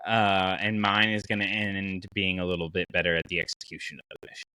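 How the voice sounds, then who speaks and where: Male podcast host, bright and punchy, very dry sound, casually arguing his case in everyday slang. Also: low-pitched, level tone, brisk, smooth, no room sound, rough recording. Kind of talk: casual fan podcast discussion.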